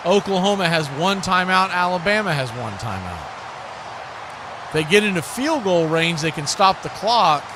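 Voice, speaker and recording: A man's voice: high voice, sing-song, moderate pace, noisy background. A man giving live play-by-play commentary on a football game.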